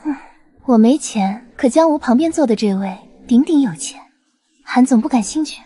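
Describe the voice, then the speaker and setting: Teasing, high-voiced young society woman an actress's role. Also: lively intonation, normal speed, warm tone, breathy edge. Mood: playful. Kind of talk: dramatized dialogue in an animated web drama.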